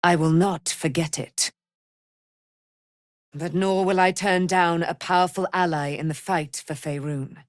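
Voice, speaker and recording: A woman's voice: fairly low pitch, fairly even intonation, a little slow, dry recording, clean studio sound, natural voice. An actress voicing a proud, righteous immortal warrior woman in a fantasy game, speaking in a grand, declamatory manner with archaic, formal diction. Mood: measured, proud. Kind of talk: fantasy video game character acting.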